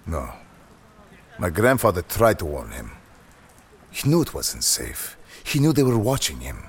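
A man voicing a soft-spoken older man recounting a painful family history. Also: low-pitched, huge pitch swings, unhurried, husky, full character voice. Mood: somber.

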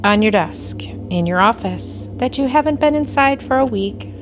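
A woman playing a composed, dryly superior female alien officer with a measured delivery in an audio play. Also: a little high, expressive, background noise. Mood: dry exasperation.

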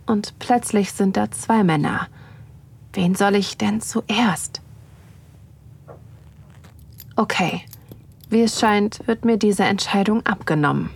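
An actress playing a nervous, curious wife who narrates an intimate erotic audio drama in a soft, often whispering voice. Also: female voice, medium pitch, unhurried, vocal fry, slightly rough, exaggerated voice. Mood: nervous, expectant.

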